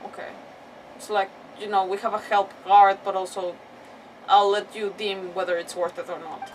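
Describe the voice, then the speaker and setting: A woman casually commentating on a live game playthrough, thinking aloud in an informal, expressive way. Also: mid-range voice, normal speed, slight background noise. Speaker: game streamer. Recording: distant-sounding mic with game music behind. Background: game music.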